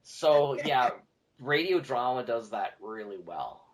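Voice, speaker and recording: A man with an average-pitched voice talks casually as a guest on a podcast. He is an animated storyteller who slips into quoted remarks as he goes. He sounds like a teacher explaining something he knows well. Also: slow, low-quality recording. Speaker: podcast guest, teacher and audio drama producer. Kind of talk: casual conversational interview talk.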